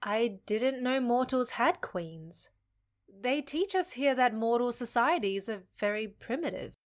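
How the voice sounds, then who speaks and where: Actress as a high-voiced, savvy fantasy half-fae woman. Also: lively intonation, unhurried. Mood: thoughtful. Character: frank, savvy young half-fae woman, teasing but supportive. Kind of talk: audio drama acting.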